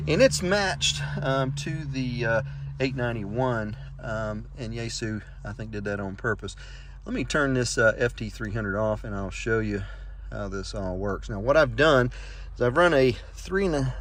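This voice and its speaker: A man giving an informal hobbyist walkaround in a halting manner, often clearing his throat, recorded on a handheld phone. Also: mid-range voice, fairly bright tone, slight background noise. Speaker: ham radio hobbyist presenting. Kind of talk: informal video walkaround narration. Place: around and inside a parked truck, outdoors. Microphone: handheld iPhone.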